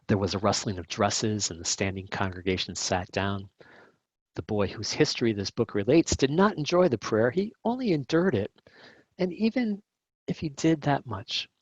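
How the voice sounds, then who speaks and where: A man with a fairly low voice reads a classic novel aloud. His delivery is scripted narration from a volunteer reader. The sound is dry, with very little room around it. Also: animated, moderate pace, slightly rough, dry recording, dull audio. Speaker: volunteer reader for a community reading program.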